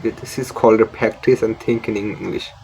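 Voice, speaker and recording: Bengali-accented man, halting repeats. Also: lowish voice, fairly even intonation, gravelly, darkish tone, slight background noise, low-quality recording. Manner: halting, repeats words and restarts sentences. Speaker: English learning channel host. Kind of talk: casual spoken lesson to camera.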